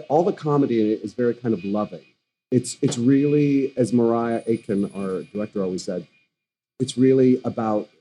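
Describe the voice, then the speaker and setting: Male actor, interview guest, husky mellow voice, thoughtful with restarts. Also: lowish voice, poor audio. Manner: thoughtful, frequent restarts and fillers. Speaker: stage actor. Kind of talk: conversational interview.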